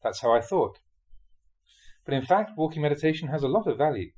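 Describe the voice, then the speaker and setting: A man, a Buddhist monk, reads translated spiritual teachings aloud in a scripted audiobook style, with measured, deliberate phrasing in short clauses. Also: on the high side, animated, darkish tone. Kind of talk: scripted audiobook-style reading of dharma talks.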